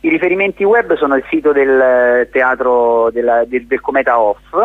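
A man's voice: medium pitch, steady tone, normal speed, no room sound. Casting: male theatre director as radio interviewee, explanatory and articulate with hesitations, dry, narrow phone-like sound. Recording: thin phone-like radio line.

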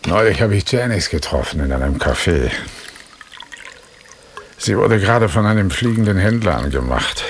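An older police officer in a German radio drama. His low voice is gravelly and husky. He talks like a loyal, blunt old partner, wry and conversational, with animated swings in pitch. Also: normal speed, long pauses, a little hiss or hum. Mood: confiding. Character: older male Los Angeles cop, loyal, bluntly honest partner.

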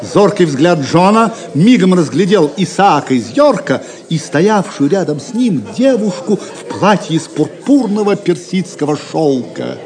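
Animated, higher-voiced male narrator. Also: unhurried, few pauses. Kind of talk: dramatic scripted narration of an audio drama. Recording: crowd noise behind. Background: crowd of spectators.

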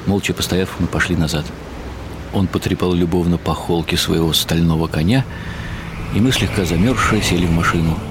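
An actor playing a world-weary writer who narrates a literary radio drama in a wry, ironic, musing way. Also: male voice, low-pitched, a little fast, noisy background. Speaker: narrator and lead character.

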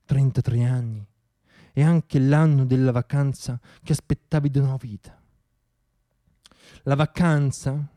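A man's voice, a little higher than most, performs a comic radio theatre monologue. His delivery is emphatic and sardonic, turned on himself. He uses deliberate pauses and repeats words for effect. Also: darkish tone. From Italy. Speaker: monologist performing own piece.

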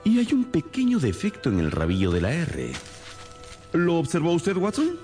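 An actor playing a shrewd, stern detective in a radio drama, speaking in a deliberate, theatrical, expository way. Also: male voice, a little high, huge pitch swings, darkish tone, soft background music. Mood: confident, demonstrative.

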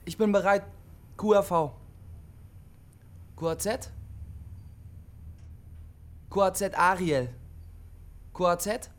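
Lonely, halting young man, higher-pitched. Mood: eager, uncertain. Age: young adult. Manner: halting, insistent, repeats himself. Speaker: CB radio operator character. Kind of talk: naturalistic radio drama dialogue.

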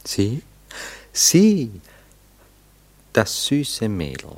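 An actor in a classic radio play playing a melancholy, ironic gentleman, wry and formally polite. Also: medium pitch, huge pitch swings, extremely slow, heavily acted. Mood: wry, evasive. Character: melancholy, ironic gentleman, frivolous romantic turned mocker.

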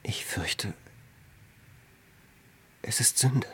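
An actor in a German radio drama playing a weary, brooding religious hermit with a halting manner. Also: male voice, fairly bright tone, whispered. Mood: weary, despairing.

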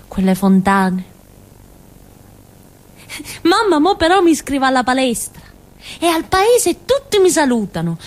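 Childlike Calabrian village simpleton. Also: female voice, on the high side. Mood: wistful, then proud. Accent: southern Italian, Calabrian. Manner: childlike, artless, halting phrases. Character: naive young village simpleton woman telling her story. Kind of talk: theatrical monologue in simple colloquial speech.

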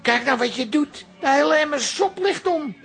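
An actor playing a fussy, opinionated older hairdresser telling anecdotes emphatically in a radio comedy-drama. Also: male voice, very high-pitched, animated, moderate pace, creaky, a bit bright, full character voice. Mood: animated storytelling. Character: fussy, opinionated old hairdresser and proud father.

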